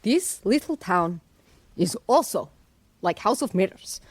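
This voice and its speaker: An actress playing a patient but frank young foreign girlfriend, speaking in measured, non-native phrasing in an audio drama. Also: mid-range voice, big pitch swings, slightly rough, no room sound. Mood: earnest advising. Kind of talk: naturalistic audio drama dialogue.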